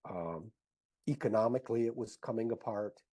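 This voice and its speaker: Slow, husky, halting male interviewee. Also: lowish voice, warm tone. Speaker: interviewed author and researcher. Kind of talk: conversational interview answers.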